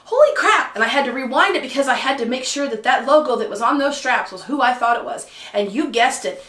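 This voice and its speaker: A hoarse woman with a slightly high voice talks casually to camera about her photography channel. She is chatty and excitable, clearing her throat now and then. The sound is roomy, as if she is recorded in an echoey living room. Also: a little fast. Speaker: photography vlogger. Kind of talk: casual vlog talk to camera. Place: living room at home by a patio door.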